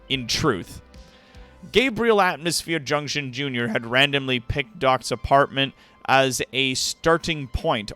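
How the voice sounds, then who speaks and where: A man's voice: medium pitch, sharp tone. A man hosting a livestream, giving a dramatic reading of fan fiction with wry, sweary asides to viewers. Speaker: livestream host.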